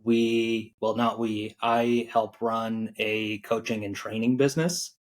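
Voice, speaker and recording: A man's voice: lowish voice, level tone, slow. A man from Ireland, raised in England and the United States, joking easily as a podcast guest. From born in Ireland, raised in England and the United States. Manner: joking, laid-back, many fillers. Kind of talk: casual podcast chat.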